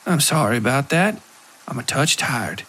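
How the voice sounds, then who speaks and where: A man's voice: mid-range voice, sing-song, normal speed, a bit bright. A man voicing a blunt, tired, impatient woman in a horror audio story, curt and exasperated. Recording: clear music behind the voice.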